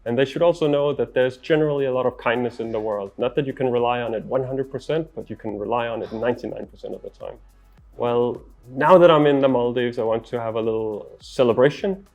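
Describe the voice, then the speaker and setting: A middle-aged man from Denmark with a Danish accent, giving interview answers as a traveller in a measured, articulate, earnest way. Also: mid-range voice, dull audio, soft background music.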